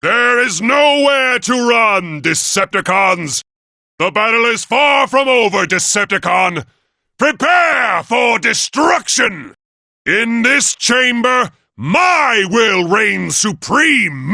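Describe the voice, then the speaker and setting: A man's voice: very high-pitched, very animated, slow, gravelly, bright, forceful tone, no room sound, shouting, clean studio sound, exaggerated voice. A man voicing a proud, noble robot leader in a video game, with a formal, declamatory and grandiose delivery. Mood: imperious, defiant. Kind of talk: theatrical video game voice acting.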